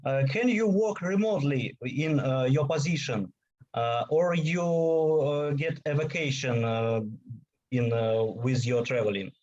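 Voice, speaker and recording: Halting host. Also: male voice, medium pitch, darkish tone, muffled recording. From Ukraine. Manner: slow, halting English with many fillers. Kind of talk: interview questions. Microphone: video call.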